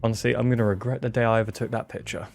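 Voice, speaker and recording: A man's voice: mid-range voice, little pitch movement, racing, creaky, darkish tone, soft background music. A man giving casual, opinionated guest commentary in an informal, joking, sweary manner. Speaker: guest video contributor.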